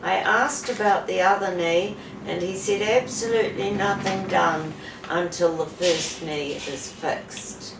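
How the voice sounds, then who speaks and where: Slow-spoken woman in her seventies, roomy. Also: lowish voice, creaky. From New Zealand. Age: 70s. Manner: slow, measured, with pauses and fillers. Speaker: patient giving a testimonial. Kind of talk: unscripted testimonial to camera. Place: indoor room with a sofa. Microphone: camera mic from a few feet away. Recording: roomy, distant camera audio with background hiss.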